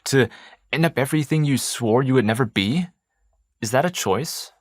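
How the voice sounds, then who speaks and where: A man's voice: mid-range voice, normal speed, no echo, studio quality, natural voice. A young man acting a challenging, probing character in stage drama dialogue. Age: young adult.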